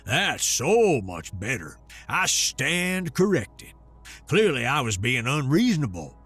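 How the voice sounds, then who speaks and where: A man voicing a gruff, sarcastic old grandfather and war veteran with a gravelly voice. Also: mid-range voice, very animated, normal speed, fairly bright tone, soft background music. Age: older. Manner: sarcastic, scolding.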